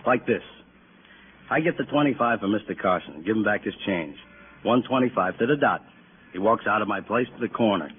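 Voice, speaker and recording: An actor playing a fussy, impatient neighbourhood grocer in a 1950s radio drama, plain-spoken and repetitive. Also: male voice, lowish voice, lively intonation, a little fast, natural voice. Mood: insistent, explaining. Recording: tinny, noisy old radio recording.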